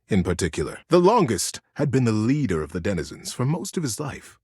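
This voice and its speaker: A man reads a storybook tale with earnest drama. His pitch sits in a middle register but swings widely from phrase to phrase. The voice is slightly rough rather than polished, and it sounds close and dry. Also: dry recording. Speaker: narrator. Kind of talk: expressive storybook narration.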